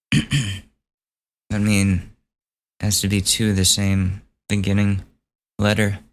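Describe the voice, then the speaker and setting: A man hosting a solo podcast, losing his voice and hoarse, casually reading out gossip items and swearing as he thinks aloud. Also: lowish voice, completely flat delivery, drawn-out words. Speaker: podcast host.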